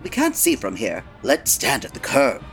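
A woman's voice: extremely low pitch, huge pitch swings, moderate pace, soft background music, exaggerated voice. A woman acting a prim, effusive, easily shocked store employee with old-fashioned manners, in a 1940s-style radio play. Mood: chipper, polite.

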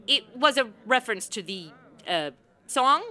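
A woman's voice: on the high side, measured pace. An actress playing a sarcastic, self-absorbed young woman in a comedic radio play, flippant and slangy. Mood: teasing. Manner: deadpan quips, slangy, flippant.

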